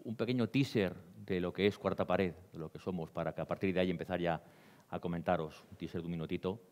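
A man's voice: sits low, fairly even intonation, fast talker, darkish tone. A middle-aged man giving an informal panel presentation. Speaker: presenter, creative director. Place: dark small theatre stage, panel table. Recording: echoey hall reverb.

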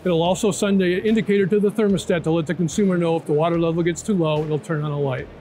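High-pitched man explaining a product. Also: fairly even intonation, quick pace, dark tone, slight background noise, poor audio. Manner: explanatory, checks in with "right?" and "okay?". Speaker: product manager presenting a product. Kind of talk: product demonstration in an interview. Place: large indoor trade show floor. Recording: music bed under trade show talk. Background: music.

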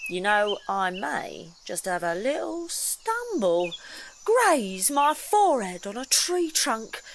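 Brash, lively millennial woman. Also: mid-range voice, slight background noise. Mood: cynical, smug. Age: young adult. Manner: flippant, cocksure. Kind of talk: audio comedy drama acting.

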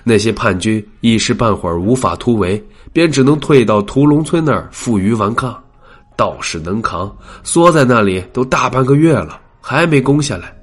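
A man voicing a wary, deferential household steward with a husky voice in an audiobook drama. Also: medium pitch, lively intonation, moderate pace. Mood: grave reporting.

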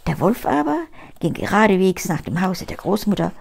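Husky elderly woman storyteller. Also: mid-range voice, moderate pace. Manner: expressive grandmotherly storytelling. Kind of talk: reading a fairy tale aloud. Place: small book-filled living room. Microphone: lapel mic.

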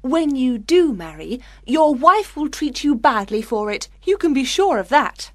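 A woman as a high, fiery young daughter. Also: big pitch swings, measured pace, fairly bright tone, no room sound, studio quality, full character voice. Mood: scornful. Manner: indignant, scornful. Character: fiery, rude, sharp-tongued young elder daughter. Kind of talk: acted audiobook dialogue.

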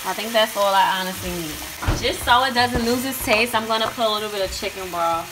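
A woman's voice: mid-range voice, fairly quick, low-quality recording. Chatty young woman cooking at home. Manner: chatty, addresses viewers as y'all. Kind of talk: casual cooking vlog narration. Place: home kitchen. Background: music and food sizzling.

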